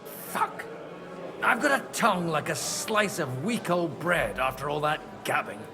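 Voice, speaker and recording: Cynical middle-aged medieval charmer in a game, dry and sardonic, pitch moves around a lot. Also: male voice, sing-song, a little slow, in a large hall, over music. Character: cynical medieval man, wry, flirtatious, fond of drink. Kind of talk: game cutscene dialogue.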